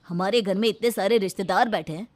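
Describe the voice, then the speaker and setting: A woman plays an indignant young husband in a domestic storybook tale. The voice is pushed down from her usual pitch, with a stern, reproachful manner. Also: fast, close to an everyday voice.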